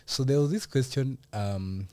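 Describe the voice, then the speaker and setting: A man with a Kenyan accent hosting a conversational podcast interview, speaking hesitantly and often clearing his throat. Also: medium pitch, a little slow, darkish tone, no echo. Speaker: podcast host.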